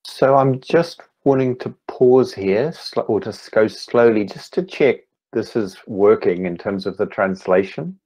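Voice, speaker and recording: Low-voiced New Zealand man speaking slowly. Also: low-quality recording. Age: 50s. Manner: slow, deliberate, gently pausing. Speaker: guest speaker, meditation teacher. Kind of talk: online conference talk. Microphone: video call.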